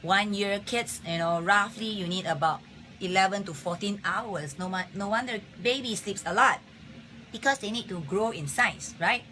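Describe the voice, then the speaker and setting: Bright, husky woman hosting a live health talk. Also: medium pitch, moderate pace, slight background noise, low-quality recording. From Singapore. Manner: chatty, greets viewers by name, many fillers. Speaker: health talk show host. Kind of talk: casual live-stream talk. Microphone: phone or webcam on a live stream.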